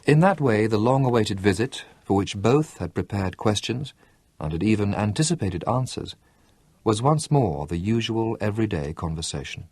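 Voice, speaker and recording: A man narrating a novel as an expressive audiobook reader. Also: lowish voice, normal speed, slightly rough, dry recording. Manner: expressive storytelling reading. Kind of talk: scripted narration.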